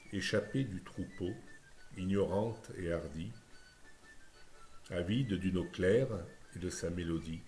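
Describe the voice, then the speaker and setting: A man with a very low voice recites poetry at a slow pace. His phrasing is lyrical and measured, set out line by line. Soft music plays behind him.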